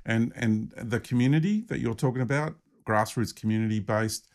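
A man's voice: medium pitch, normal speed, a little mellow. A man hosting a spiritual podcast, talking in an enthusiastic, affirming way and often repeating words as he goes. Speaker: podcast host.

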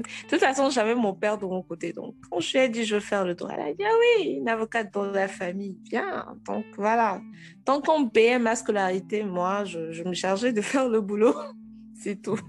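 A woman in her thirties with a Togolese French accent, a guest telling her own story frankly and with animation. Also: medium pitch. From Togo. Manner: frank and animated, with frequent restarts. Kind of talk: casual interview.

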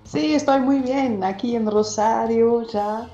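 Soft-spoken German woman, slow and mellow. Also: fairly high pitch, roomy sound, lo-fi sound, faint music behind. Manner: hesitant, searching for words, soft-spoken. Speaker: guest singer-songwriter. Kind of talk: casual interview.

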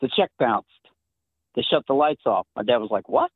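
A man's voice: medium pitch, sing-song, normal speed, breathy edge, no room sound. A middle-aged man with a Southern accent, calling in to a show and telling stories in a chatty way, laughing often. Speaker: caller. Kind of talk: call-in show chat. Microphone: phone. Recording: tinny phone line.

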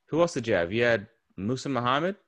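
A man's voice: mid-range voice, very animated, fast talker, a bit bright. A man in his late twenties hosting a casual podcast chat, teasing his friend and swearing freely. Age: 20s. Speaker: podcast host.

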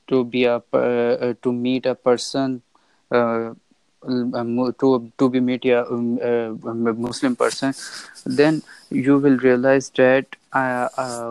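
A polite young man in his twenties who talks haltingly, with many restarts, on a video call. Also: medium pitch, darkish tone, clean tone, no room sound, poor audio. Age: 20s. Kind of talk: casual conversation.

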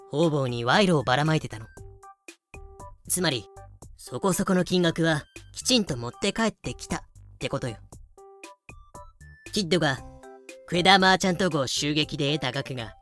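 A woman acts a knowing, plain-spoken female character in an amateur audio drama. She explains in a dry, matter-of-fact way, with faint music behind her. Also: deep voice. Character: frank, knowing woman explaining history to a sidekick.

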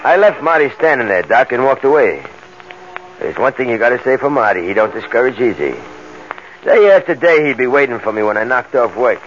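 A man's voice: mid-range voice, expressive, brisk, close to an everyday voice. Muffled, hissy old radio sound carries an actor as a New ex-convict in his thirties. He is a streetwise, wary man who talks tough and slangy, in 1940s radio crime drama style. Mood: weary storytelling. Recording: muffled old radio recording, background hiss.

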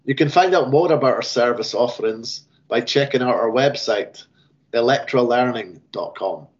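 A man giving a measured, rehearsed promotional talk about his training business. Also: medium pitch, muffled recording. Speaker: sponsor business representative.

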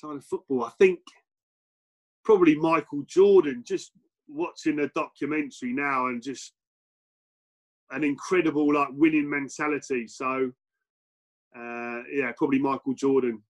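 A middle-aged English man, a former footballer and coach, answering interview questions in a relaxed, reflective way with many fillers and restarts. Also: medium pitch, moderate pace, pauses often. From England.

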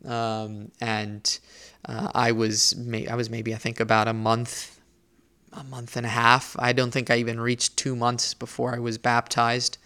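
A man's voice: medium pitch, monotone, measured pace, sharp tone, smooth. A young man from Spain hosting a solo faith podcast, speaking earnestly and reflectively, often restarting and repeating words as he thinks aloud. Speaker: podcast host.

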